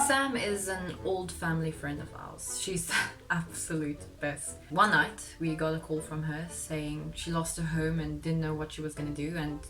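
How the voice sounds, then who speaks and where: A woman's voice: low voice, rough recording. A young woman whose first language is Afrikaans, telling her own story in a candid, self-deprecating vlog and laughing partway through sentences. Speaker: vlogger. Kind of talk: confessional vlog narration. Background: faint music.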